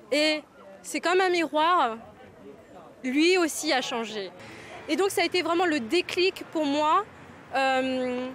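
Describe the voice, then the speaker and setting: A woman from Paris, reflecting on her life in a measured, searching way. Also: high voice, fairly bright tone. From Paris, France. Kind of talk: personal reflective interview.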